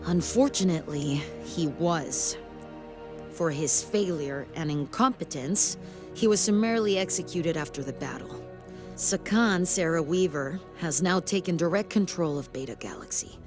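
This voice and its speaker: An actress playing a stern, authoritative sci-fi military commander in a video game, with formal, clipped briefing delivery. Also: female voice, on the low side, moderate pace, over music, natural voice. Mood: grave, resolute. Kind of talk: video game cutscene acting.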